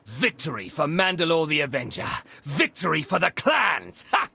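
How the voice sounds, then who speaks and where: A man playing a fierce, glory-hungry warrior in a video game, with a raspy, gravelly voice. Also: a little high, very animated, moderate pace, sharp tone, exaggerated voice. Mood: triumphant.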